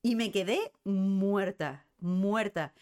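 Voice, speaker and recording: A woman's voice: medium pitch, slow, a little mellow. A woman with a Spain Spanish accent, hosting a live stream with casual, ranting chat in a blunt, sarcastic, sweary manner. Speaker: host of a live cultural current-affairs show.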